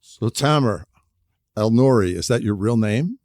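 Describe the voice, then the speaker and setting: A man's voice: fairly low pitch, animated, a little slow, a little mellow, no room sound, studio quality. A man hosting a podcast who reads a scripted introduction and asks interview questions in an earnest, formal way. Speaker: podcast host.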